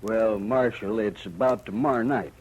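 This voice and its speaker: An actor playing an earnest, insistent American storekeeper in a 1950s radio western, worried and a little hesitant. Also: male voice, fairly low pitch, moderate pace, darkish tone, husky. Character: worried, insistent frontier dry goods merchant. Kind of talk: 1950s radio drama acting. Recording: noisy old 1950s radio recording.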